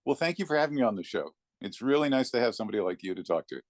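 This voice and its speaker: A man's voice: mid-range voice, big pitch swings, rapid-fire, no room sound, clean studio sound. A man talking casually as a guest on a podcast. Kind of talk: casual chat.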